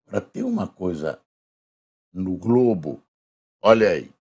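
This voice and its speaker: Hoarse, gravelly Brazilian man presenting news. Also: low voice, expressive, darkish tone. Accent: Brazilian Portuguese. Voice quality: gravelly, husky. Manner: conversational, reads headlines aloud slowly with emphasis. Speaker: news commentator reviewing front pages. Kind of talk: casual spoken press review.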